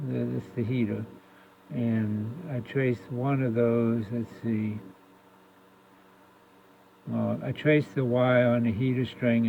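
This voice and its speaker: A man with a creaky, fry-heavy voice gives a casual hands-on demonstration. He talks slowly and haltingly, working things out aloud. The phone recording is dull and lo-fi. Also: medium pitch. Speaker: radio repair hobbyist. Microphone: phone camera propped near the workbench. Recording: lo-fi phone recording with faint noise.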